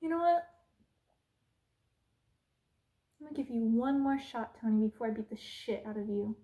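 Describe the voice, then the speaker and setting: A woman playing a haughty, cruel evil queen in an ASMR roleplay, speaking in an imperious, deliberate, menacing way. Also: a little high, mellow, close to an everyday voice. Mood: scornful, irritated. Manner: imperious, slow and deliberate, drops into menacing whispers.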